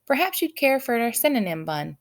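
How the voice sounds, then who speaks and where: A woman's voice: on the high side, big pitch swings, a little fast, pitched above the performer's own voice. A woman voicing fussy, touchy male nobles at a banquet in a storybook reading. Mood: offering. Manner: shouting, scolding, offering. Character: squabbling, touchy banquet noblemen, scolding and punning.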